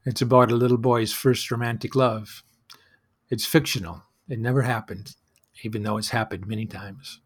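A man's voice: medium pitch, steady tone, moderate pace, slightly rough. Reflective man telling stories as a host. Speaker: host of a solo storytelling show. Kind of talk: personal storytelling monologue.

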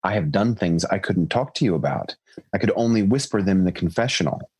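A man's voice: sits low, expressive, brisk, a little mellow, slightly rough. A man voicing a guilt-ridden drunken priest in a prison cell, earnest and self-reproaching.

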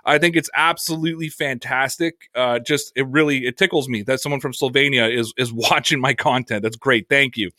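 A man's voice: medium pitch, steady tone, brisk, few pauses, bright, forceful tone, dry recording, studio quality. A man hosting a sports podcast, answering viewer comments in an earnest, conversational way.

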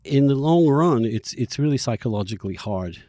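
A man talks as a podcast host in a medium-pitched voice. His delivery is conversational and emphatic, halting and doubling back on words as he goes. The recording is dry, with hardly any room. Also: expressive, dark tone, no echo.

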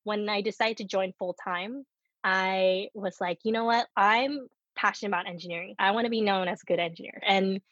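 A woman's voice: medium pitch, fairly even intonation, a little fast, a bit bright, dry recording, lo-fi sound. A woman in her thirties whose first language is Korean, talking candidly about her life in an interview and laughing often as she tells stories. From United States. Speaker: startup co-founder guest. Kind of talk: casual interview conversation.

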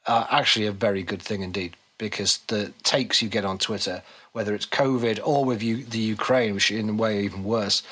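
A man's voice: on the low side, steady tone, brisk, few pauses, a bit bright. A man speaking as a podcast guest, casual and blunt, giving his opinions in conversation. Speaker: podcast guest, policy economist and author.

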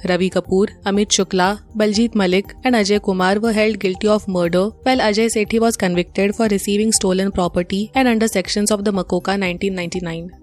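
A woman's voice: medium pitch, steady tone, fast talker, few pauses, a little mellow. Indian-accented woman reading news over music. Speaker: news presenter.

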